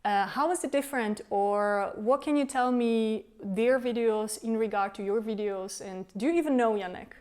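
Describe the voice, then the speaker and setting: A woman's voice: fairly high pitch, moderate pace, few pauses, darkish tone, smooth. A woman interviewing a guest, putting questions in a friendly, formal way.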